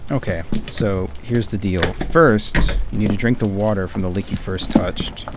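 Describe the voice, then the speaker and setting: A man's voice: on the low side, steady tone, normal speed, background noise, natural voice. A man playing a practical, reassuring friend in an audio roleplay, with a casual, matter-of-fact delivery. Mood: businesslike.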